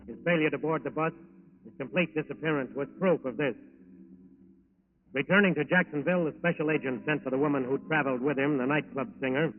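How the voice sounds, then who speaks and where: A man's voice: a little high, fairly quick. A man with an American accent giving dramatic, emphatic scripted narration for a 1940s radio crime show. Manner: emphatic, repeating phrases. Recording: tinny 1940s radio recording with faint music.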